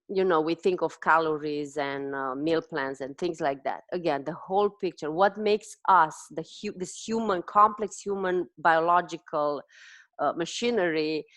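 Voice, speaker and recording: A lower-voiced woman with a Romanian accent talks through her ideas slowly, pausing and restarting as she hunts for the right word. The sound is dry and close, with no room around it. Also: fairly bright tone, no echo. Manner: thoughtful, searching for words with frequent restarts. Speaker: host, dietitian. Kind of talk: conversational interview.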